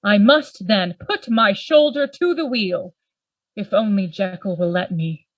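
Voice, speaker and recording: A woman playing a grave, worried gentleman lawyer in a Gothic radio play, formal and earnest in manner. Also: mid-range voice, lively intonation, unhurried, no echo. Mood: troubled, resolute.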